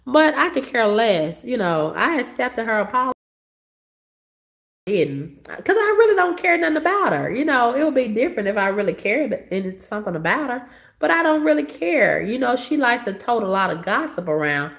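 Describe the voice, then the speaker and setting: A hoarse woman with a cough, telling a story to her viewers in a blunt, profane, dismissive way. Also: a little high, sing-song, moderate pace, fairly bright tone. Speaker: vlogger.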